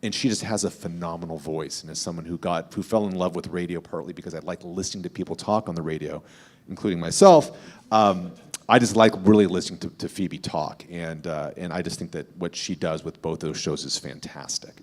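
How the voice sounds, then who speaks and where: A man who hosts a podcast, speaking conversationally on a panel in a measured, explanatory way that often rephrases as he goes. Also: low-pitched, darkish tone.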